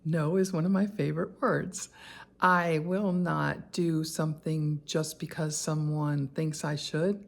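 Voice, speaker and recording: An older woman, telling a personal story to camera in an easy, conversational way. Her voice sits a little low, and she keeps things chatty and candid, dropping in asides and small laughs. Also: steady tone, slow pace, creaky. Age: 60s. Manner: conversational with frequent fillers and asides. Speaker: lifestyle vlogger. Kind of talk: personal reflective vlog.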